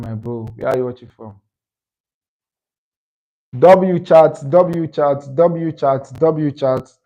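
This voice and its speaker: A man in his twenties runs a casual live stream, speaking at a slow, unhurried pace. He loops the same short thanks and calls to the chat again and again. His voice sits at an ordinary middle pitch. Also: poor audio. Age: 20s. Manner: slow, repetitive shout-outs to chat. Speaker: livestream host.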